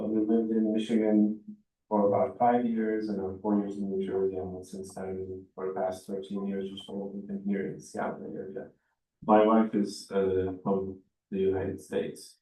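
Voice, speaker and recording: Low-voiced man, heartfelt. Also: warm tone. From Turkey. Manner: heartfelt, halting, many fillers. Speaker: oral history interviewee. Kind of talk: personal oral history. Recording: echoey room, distant mic.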